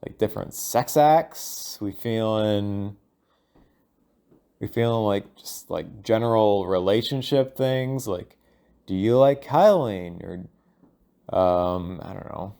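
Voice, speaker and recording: A man thinking aloud in a casual, rambling audio log, halting and informal, sometimes voicing imagined lines of dialogue. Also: lowish voice, creaky, warm tone. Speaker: solo audio log host.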